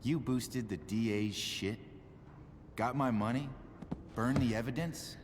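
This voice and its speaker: An actor playing a menacing, foul-mouthed criminal in a video game, curt and threatening. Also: male voice, medium pitch, slow, close to an everyday voice. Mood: cold menace. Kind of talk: dramatic video game voice acting. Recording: noisy, long reverberant echo.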